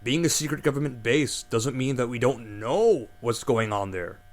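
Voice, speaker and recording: A man playing an exasperated radio host who keeps bickering with his co-host. His voice moves up and down a lot as he scolds. A steady hum sits under it. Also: mid-range voice, normal speed, lightly acted. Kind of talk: radio drama acting.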